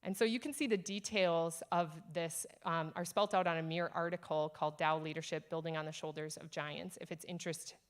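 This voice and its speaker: A woman's voice: low-pitched, fairly even intonation, a little fast, few pauses. A woman speaking from a conference stage, earnest and encouraging as she presents leadership ideas to an audience. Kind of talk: conference keynote talk.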